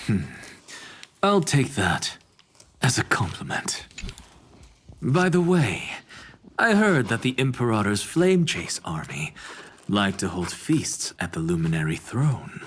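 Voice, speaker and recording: An actor playing a self-assured, irreverent professor in a video game, musing in a pompous, theatrical manner. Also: male voice, on the low side, very animated, takes it slowly, pauses often, fairly bright tone, slight background noise, exaggerated voice. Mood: amused, dry. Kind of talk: video game character acting.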